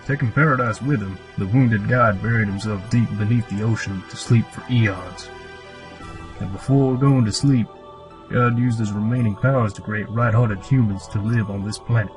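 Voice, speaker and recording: A man's voice: lowish voice, lightly acted. A man playing a cryptic old cave hermit and mechanic in an audio drama, with a solemn, old-fashioned storyteller's delivery. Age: older. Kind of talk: fan audio drama acting.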